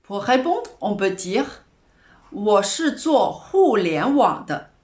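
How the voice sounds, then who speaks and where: A woman giving a scripted language lesson as a teacher, in a didactic, deliberate way, slowly enunciating repeated example phrases. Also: medium pitch, big pitch swings, darkish tone. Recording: roomy, echoing voice recording.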